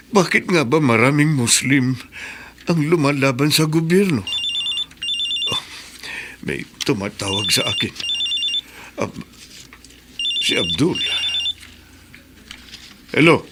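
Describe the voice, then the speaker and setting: Grieving middle-aged widower. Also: male voice, medium pitch, expressive, takes it slowly, pauses often, very noisy. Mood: sad. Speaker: radio drama actor. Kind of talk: radio drama acting.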